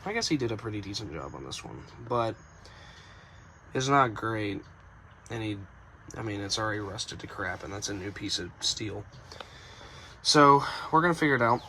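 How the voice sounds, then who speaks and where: A raspy young man who just woke up, rambling about truck work in a casual garage vlog, filled with "um"s and corrections, recorded on a phone. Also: on the low side, fairly bright tone, slight background noise. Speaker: truck restoration vlogger. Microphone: phone camera.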